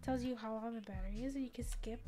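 A young woman with a soft voice, chatting casually through a product review in a small home studio, gasping and laughing often. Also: on the high side, fast. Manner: bubbly, chatty, frequent gasps and laughs. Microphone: studio mic on boom arm.

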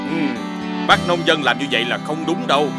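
A responsible father dog in a cartoon, played by an actor, talking fast and instructively. The voice has a middle pitch and is firm but kindly, with loud music behind it. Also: expressive, natural voice. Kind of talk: cartoon voice acting.

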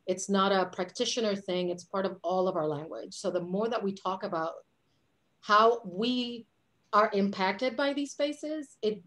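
A woman from Puerto Rico in her forties talks with lively hand gestures over a video call from a dim home office. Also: mid-range voice. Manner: animated, gestures a lot with her hands. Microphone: webcam on a video call.

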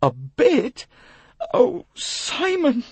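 A man voicing a distraught, remorseful young woman in an audiobook, halting and melodramatic, with a breathy tone. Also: extremely high pitch, wildly swooping pitch, no echo, pitched above the performer's own voice, heavily acted. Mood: anguished remorse.

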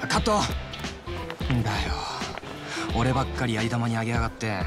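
An actor playing a wisecracking small-time crook in a video game, griping in exasperated slang. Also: male voice, medium pitch, animated, moderate pace, loud music behind the voice, natural voice. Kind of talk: Japanese video game voice acting.

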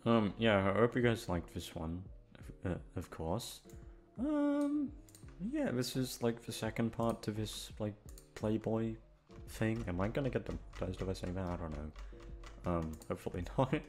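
A man hosting his audio channel talks off the cuff in a fairly low voice. He rambles awkwardly, puts himself down and swears casually as he loses his thread. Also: huge pitch swings, normal speed, darkish tone, faint music behind. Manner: awkward, self-deprecating, swearing, scattered. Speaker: audio roleplay voice actor, channel host. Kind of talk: casual rambling outro chat.

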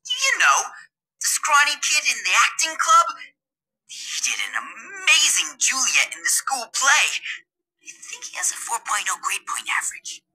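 A woman voicing an anxious, gossipy teenage schoolboy in a redubbed game cutscene, chatty and excitable. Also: high voice, unhurried, full character voice, poor audio.

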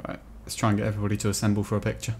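A man's voice: on the low side, monotone, rapid-fire, darkish tone. A man role-playing a dwarf with his friends in a game, speaking in a polite, mock-formal way and laughing easily. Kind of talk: in-character role-play and casual commentary.

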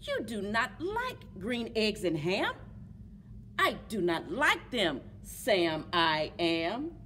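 A middle-aged woman giving an expressive, rhyming children's read-aloud at a library story time. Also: mid-range voice, slow. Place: public library, among bookshelves. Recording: clear background room noise.

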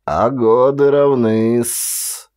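A man voicing a proud eighty-year-old ex-military beggar in an audiobook, hoarse, with slow, formal, dignified speech. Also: mid-range voice, steady tone, a little mellow, pitched above the performer's own voice. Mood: proud, calm. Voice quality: hoarse, unpleasant.

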